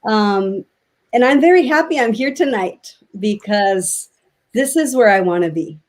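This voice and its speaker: A woman from Mexico, a native Spanish speaker, speaking as a guest on a video call and talking reflectively with many pauses and fillers. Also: a little high, expressive, darkish tone. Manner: reflective, halting with many ums. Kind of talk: casual interview chat.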